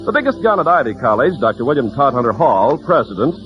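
A man's voice: mid-range voice, sing-song, fast, dull audio. A man announcing and narrating an old-time radio show in a scripted style. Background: loud music.

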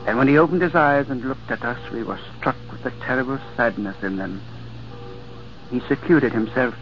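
An actor playing a gentle monk who speaks in a measured, solemn manner, in 1940s radio acting on a dull old recording. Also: male voice, medium pitch, natural voice. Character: gentle middle-aged monk, quietly solemn.

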